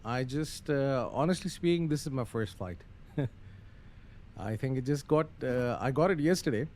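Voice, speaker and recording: A man's voice: mid-range voice, expressive, unhurried, darkish tone. A man giving casual live-stream commentary on a flight simulator, muttering through procedures hesitantly with some radio phraseology. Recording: faint background noise.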